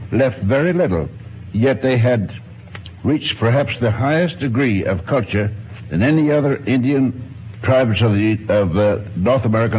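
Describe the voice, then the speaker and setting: A man's voice: mid-range voice, darkish tone. A man in his nineties giving formal, precise answers as an expert guest in a radio interview, with old-fashioned phrasing. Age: 90s. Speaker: interview guest, archaeologist and ethnologist. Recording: muffled, noisy 1950s radio broadcast recording.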